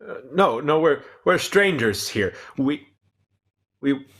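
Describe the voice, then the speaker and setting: A man's voice: on the high side, animated, slow, exaggerated voice. A man playing a cautious, uneasy spaceship crewman in a comic radio play, stammering and hesitant. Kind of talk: cold-read comic radio sci-fi play.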